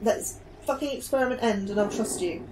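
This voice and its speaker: A woman's voice: mid-range voice, fairly quick, close to an everyday voice. A woman playing an anxious, guilt-ridden astrobiologist in a sci-fi horror audio drama. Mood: angry, pleading. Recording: clear background noise and ambience.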